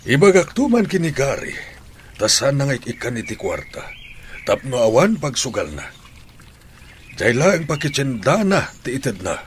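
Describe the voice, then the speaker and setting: A man's voice: mid-range voice, very animated, normal speed, slight background noise, close to an everyday voice. An actor with an Ilocano accent playing a stern, worried family man in a radio drama, scolding and questioning. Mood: concerned. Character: stern, worried family man fretting over a relative's gambling.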